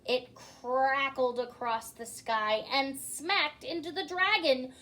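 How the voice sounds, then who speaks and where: Woman storytime host, children's read-aloud, theatrical, high voice, unhurried pace, speaks directly to listeners. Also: bright, forceful tone.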